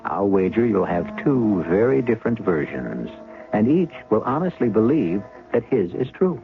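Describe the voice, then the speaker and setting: Deep wry guy narrating a radio mystery, swoopy delivery, old thin radio sound. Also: very animated, music in the background. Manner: wry, measured, addressing listeners. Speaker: host and narrator. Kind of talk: radio drama host narration. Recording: tinny old radio broadcast.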